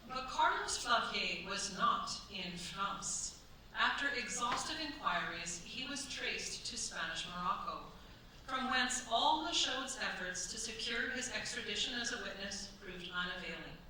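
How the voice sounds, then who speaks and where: A dramatic female storyteller narrates a period crime radio play, acted by a woman on stage in formal, weighty phrases. Her bright, forceful voice echoes strongly around a hall. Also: mid-range voice, animated, measured pace. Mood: grave, dramatic. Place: staged performance in a cultural centre. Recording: echoey hall, distant mic, stage noise.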